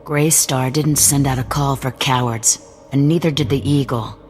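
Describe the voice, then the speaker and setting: A gruff, suspicious rebel commander with cybernetic implants, as a man plays him, handing out threats in a flat, curt voice. Soft game music plays underneath. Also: mid-range voice, normal speed, close to an everyday voice. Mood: hostile. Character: hard, distrustful male rebel leader with cybernetics. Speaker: resistance cell leader. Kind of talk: video game cutscene acting.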